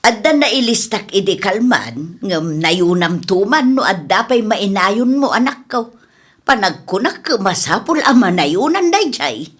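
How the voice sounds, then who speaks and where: A woman's voice: mid-range voice, sing-song, unhurried, a bit bright, husky, heavily acted. A woman playing a talkative mother who runs a small eatery, in conversational radio drama style. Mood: cheerful. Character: talkative mother running a small eatery, fretting over rivals.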